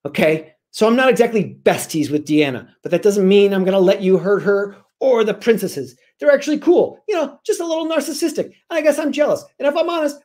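A man acts out a teenage babysitter heroine from a storybook, pitched well up. She is bold and indignant, rattling along breathlessly and leaning hard on her words. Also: darkish tone. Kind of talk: dramatic storybook reading.

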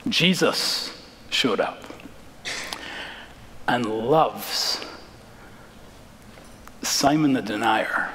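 An American man in his thirties preaching a sermon as a pastor, dramatic and emphatic, with deliberate pauses and repeated phrases, in a large church. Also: on the high side, fairly bright tone, a little hiss or hum. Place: large church sanctuary, indoors, preaching from the stage. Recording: reverberant church hall.

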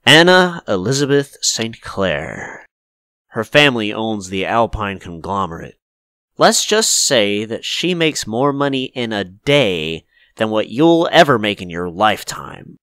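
A man's voice: fairly low pitch, sing-song, a little slow, pushed and bright, clean tone, no room sound, heavily acted. A man playing a cautious, placating flower shop manager in a visual novel, obsequious and emphatic. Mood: gossipy, cautioning.

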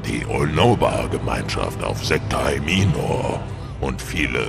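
An actor playing a solemn, cryptic alien priest who speaks formally and ceremoniously in a science fiction audio drama. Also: male voice, a bass voice, animated, slow pace, gravelly, full character voice. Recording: music behind the voice.

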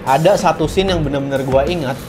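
Young male founder guest, slightly high, relaxed chat, kitchen lapel mic, music bed. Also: fast talker. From Indonesia. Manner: relaxed, mixes English business terms, many fillers. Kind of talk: casual chat while cooking. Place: home kitchen, indoors. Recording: background music over the talk. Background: background music and frying sounds.

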